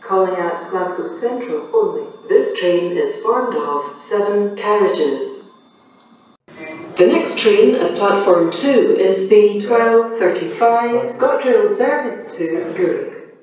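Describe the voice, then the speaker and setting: A woman making formal automated railway station announcements in a measured, formulaic way over a public address system. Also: mid-range voice, animated, darkish tone, highs cut off, faint music behind. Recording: echoey station PA, long reverb, distant mic.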